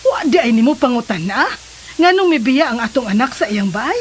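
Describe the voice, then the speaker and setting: A woman's voice: a little high, animated, a little fast, close to an everyday voice. A woman with a Cebuano accent playing a concerned, questioning mother in a radio drama. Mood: concerned, probing. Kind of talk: Filipino radio drama acting. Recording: noisy, hissy radio drama recording.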